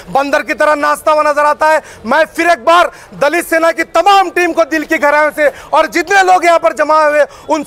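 A man gives an impassioned, forceful public statement as an activist, speaking emphatically outdoors to a news camera. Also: very high voice, vocal fry. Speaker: community activist giving a press statement. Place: busy open street outside shopfronts. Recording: outdoor street noise.

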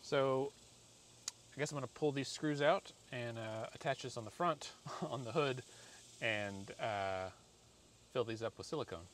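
A man's voice: mid-range voice, unhurried, a bit bright. An American man in his forties talking through a hands-on job in a casual, rambling, self-deprecating way. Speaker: vlogger doing a product installation. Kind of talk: casual hands-on how-to vlog. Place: outdoors in a driveway and inside a parked car. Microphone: camera or phone mic, arm's length to a few feet. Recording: noisy outdoor and in-car camera audio. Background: clear ambient noise.

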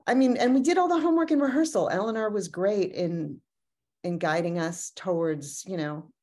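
A woman's voice: mid-range voice, moderate pace, a little mellow. A woman in her thirties speaking reflectively as an actor in a talkback, recorded indoors on a video call. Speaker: actor in an audio drama cast. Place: room at home, indoors.

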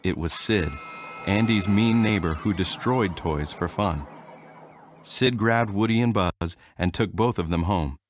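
A man reading a children's storybook aloud in a steady, even way. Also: sits low, measured pace, a little hiss or hum. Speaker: storybook reader. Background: music.

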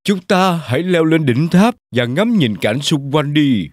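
A man's voice: a little high, lively intonation, unhurried, no room sound, studio quality. Man playing an explanatory cartoon bear teacher. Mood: instructive. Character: instructive bear schoolteacher guiding young pupils. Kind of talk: children's cartoon dialogue.

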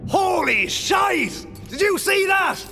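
A man's voice: very high voice, lively intonation, slow, exaggerated voice. A man playing a rowdy, cocky treasure-hunting gunslinger, calling out short exclamations in a video game. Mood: cocky excitement. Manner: boisterous, exclaiming. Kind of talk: video game combat barks. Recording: loud music behind voice.

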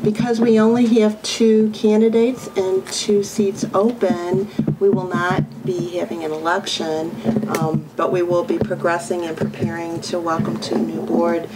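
A woman's voice: on the low side, level tone, unhurried, few pauses. A woman, the superintendent, giving an upbeat, earnest report to a school board meeting, with a few ums. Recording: noisy meeting-room recording.

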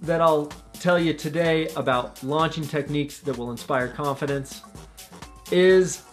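An earnest young man coaches his viewers directly to camera from a small room at home, his voice sitting a touch high. His hands move as he talks, and quiet music runs faintly underneath. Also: unhurried. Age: young adult. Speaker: paragliding instructor and channel host.

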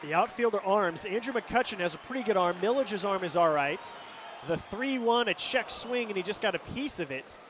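A high-voiced American man calls live baseball play-by-play for radio, describing each moment as it unfolds. A loud stadium crowd surrounds his voice, with clear background noise. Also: animated, normal speed. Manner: descriptive, calling action as it happens. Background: ballpark crowd and outdoor stadium ambience.

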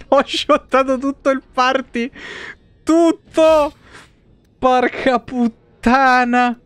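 A young man doing casual, animated live game commentary in slangy language with frequent swearing. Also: extremely high pitch, extremely slow, clean tone, dry recording. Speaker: game streamer.

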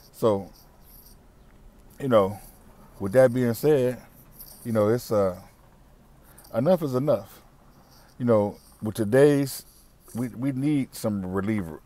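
A man's voice: lowish voice, darkish tone. Blunt middle-aged man ranting in a car. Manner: blunt, repetitive, preachy rant with slang and cussing. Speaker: vlogger. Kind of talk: casual commentary to camera. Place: parked car interior. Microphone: wired earbud mic.

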